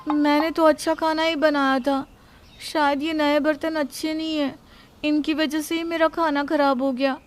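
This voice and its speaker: A plaintive young daughter-in-law, voiced by a woman narrator in a moral story. Her voice is very high and insistent, always justifying herself and shifting the blame. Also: little pitch movement, moderate pace, slight background noise, close to an everyday voice. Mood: defensive. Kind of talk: acted dialogue in a Hindi moral story.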